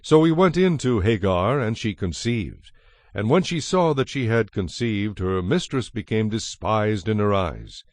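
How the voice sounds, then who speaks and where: A man giving a solemn, measured scripted narration of scripture in a dramatized style. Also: lowish voice, animated, no echo. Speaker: Bible narrator. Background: music.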